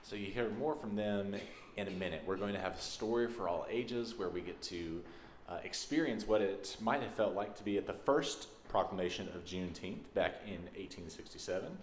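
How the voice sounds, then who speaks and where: Low-voiced Texan minister in an echoey sanctuary. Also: male voice, a little fast. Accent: Texas. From Texas, United States. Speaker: minister leading a church service. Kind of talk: informal spoken welcome to a congregation. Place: large church sanctuary, indoors. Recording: echoey sanctuary with background noise.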